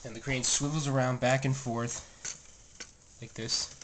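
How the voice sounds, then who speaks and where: A man's voice: medium pitch, little pitch movement, unhurried. A man with a stuffy, scratchy voice giving a rambling, casual tour of his train room, full of fillers and restarts. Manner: rambling, many fillers and self-corrections. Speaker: toy train vlogger. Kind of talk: casual show-and-tell narration. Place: home train room, indoors. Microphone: handheld camera mic, filming close.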